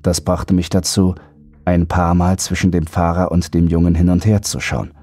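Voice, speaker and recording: Horror audiobook narrator, male, very low and dark mellow voice, faint music underneath. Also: level tone, moderate pace, no room sound. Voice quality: deep, husky, mellow. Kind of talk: scripted first-person horror narration.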